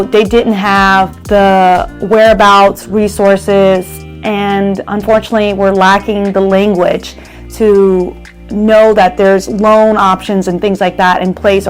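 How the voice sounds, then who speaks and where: A woman from the United States speaking in an earnest, measured way, giving a personal testimonial as a real estate and mortgage professional. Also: medium pitch. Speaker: real estate agent and mortgage loan originator.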